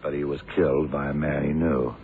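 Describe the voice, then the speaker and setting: An actor playing a shrewd, commanding detective in a 1970s radio crime drama, speaking tersely and deliberately. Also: male voice, extremely low pitch, flat delivery, vocal fry, slightly rough, exaggerated voice. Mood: calm, probing. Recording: noisy, tinny old radio broadcast.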